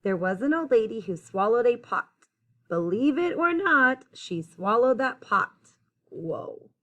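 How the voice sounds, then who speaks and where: A woman teacher reading a picture book aloud to young children in an expressive, sing-song, encouraging way. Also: a little high, measured pace. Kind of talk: children's story read-aloud, addressing young listeners.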